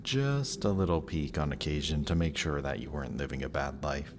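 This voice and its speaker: A man's voice: very deep voice, brisk, music in the background. A man playing a death god's smug, lovestruck young son in a romantic audio roleplay, talking casually and teasingly to the listener. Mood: quietly fond.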